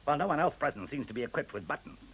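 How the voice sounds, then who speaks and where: A man's voice: mid-range voice, lively intonation, racing, a bit bright, husky, exaggerated voice, poor audio. An actor in a radio play voicing a conceited male professor with a blunt, dry and precise manner. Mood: wry. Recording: faint hiss on old radio recording.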